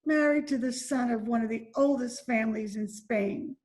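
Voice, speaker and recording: A woman's voice: a little high, normal speed, a little mellow, natural voice. A woman playing a faded, despairing former Broadway dancer and mother from Spain in an amateur radio drama, speaking with bitter drama. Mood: bitter, anguished. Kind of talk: amateur old-time radio drama acting. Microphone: video call recording.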